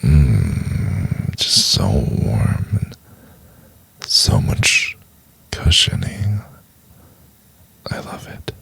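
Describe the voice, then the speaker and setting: A man playing a domineering, possessive CEO boss in an intimate ASMR roleplay, in a gravelly, breathy half-whisper. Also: a bass voice, huge pitch swings, a bit bright, full character voice. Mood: tender, intimate. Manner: slow, commanding, intimate.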